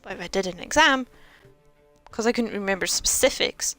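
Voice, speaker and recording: A woman's voice: mid-range voice, expressive, normal speed, bright, forceful tone, soft background music. A young woman in her twenties, rambling casually over a video game she plays. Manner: rambling, chatty, self-mocking. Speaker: game streamer. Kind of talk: casual commentary over gameplay.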